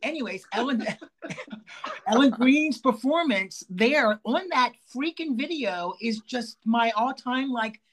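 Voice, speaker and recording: A man with a raspy voice hosting a podcast, telling stories in an expressive, jokey way. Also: very high-pitched, slow, rough recording. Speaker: podcast host. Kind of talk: casual podcast chat.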